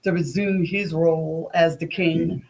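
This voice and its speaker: A middle-aged woman speaks as a guest in a relaxed interview. Her pitch sits in the middle, and she tells things in an emphatic, folksy way, leaning on her key phrases. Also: a little slow, creaky, poor audio. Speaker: playwright and director, interview guest. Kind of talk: animated conversational interview.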